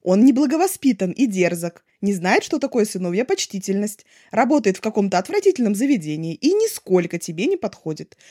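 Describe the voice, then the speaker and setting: Disapproving uncle played by a woman, formal and lecturing, soft-edged tone, audiobook read. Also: medium pitch, sing-song, a little fast, few pauses, pitched below the performer's own voice. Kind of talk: audiobook character voice.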